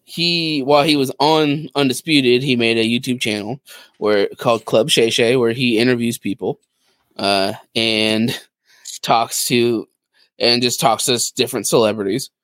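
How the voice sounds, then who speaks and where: A man with a medium-pitched voice hosting a podcast. He talks in a slangy, joking, off-the-cuff style, and the sound is dry and close with no room around it. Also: fairly even intonation, a bit bright, no echo. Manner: slangy, jokey, quick to laugh. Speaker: podcast host. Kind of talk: casual podcast chat.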